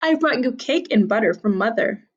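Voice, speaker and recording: A woman's voice: a little high, lively intonation, normal speed, husky, lo-fi sound, pitched above the performer's own voice. A woman voicing a vain, boastful little girl from a storybook, with a preening, singsong delivery. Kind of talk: children's storybook character reading.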